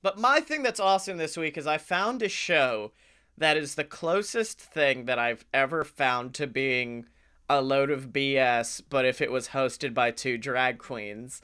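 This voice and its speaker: A man chatting casually as a comedy podcast co-host, enthusiastic, talkative and quick to swear. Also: mid-range voice, pushed and bright, clear, resonant tone.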